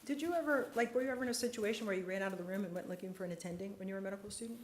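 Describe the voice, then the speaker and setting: Woman psychiatrist, earnest lecturer, middle pitch, roomy hall sound. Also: a little hiss or hum. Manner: earnest, measured, slows for emphasis. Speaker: psychiatrist speaker. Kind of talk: lecture and audience Q&A.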